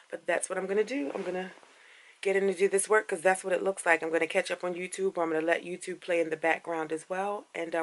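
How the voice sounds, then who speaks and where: A woman vlogging in a casual, rambling way, with a raspy deep voice after a late night and lots of fillers. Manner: rambling, many fillers and restarts. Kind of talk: casual vlog chat.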